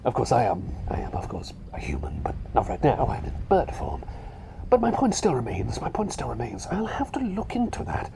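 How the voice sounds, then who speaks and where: A man voicing a fussy, chatty little bird who is really a doctor, rambling and repeating himself politely in a hushed, breathy ASMR roleplay. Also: medium pitch, wildly swooping pitch, brisk, noisy background. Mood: musing, insistent. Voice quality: breathy, half-whispered.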